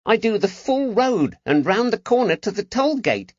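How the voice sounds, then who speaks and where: A man voicing a cheeky, eager young street urchin with a high-pitched voice and slangy talk, in an audiobook. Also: big pitch swings, moderate pace, a little mellow, dry recording, muffled recording. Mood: bargaining, cheeky. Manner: colloquial street slang, bargaining.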